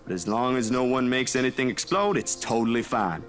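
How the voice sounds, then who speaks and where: A man's voice: medium pitch, expressive, fairly quick, a bit bright, soft background music, close to an everyday voice. An actor playing an easygoing, kindly young man in a visual novel game. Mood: reassuring. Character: Zach, easygoing, kindly young gentleman friend.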